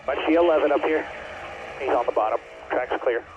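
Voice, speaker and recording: A man's voice: mid-range voice, fairly quick, dull audio. Race spotter man on very noisy radio. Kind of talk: clipped radio callouts. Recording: team radio with loud car noise.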